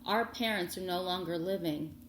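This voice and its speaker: A woman's voice: on the low side, normal speed, echoey room, slight background noise, lightly acted, poor audio. A woman voicing a kindly, chatty older country woman, folksy and soothing, in a storybook reading. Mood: musing.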